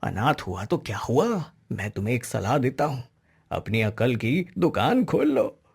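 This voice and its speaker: आदमी की आवाज़ में भावपूर्ण, भूखा नेकदिल भिखारी. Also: male voice, medium pitch, very animated, unhurried, gravelly, a little mellow, breathy edge, heavily acted. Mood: advising. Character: hungry, kindly male beggar giving wise advice. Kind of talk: Hindi cartoon story acting.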